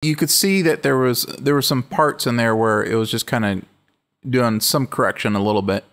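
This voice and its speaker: A middle-aged man with a low voice hosting a casual music-production walkthrough, relaxed and rambling, often correcting himself mid-sentence. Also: normal speed. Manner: relaxed, rambling, self-correcting, laughs easily. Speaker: music production tutorial host. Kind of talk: casual screen-recorded walkthrough.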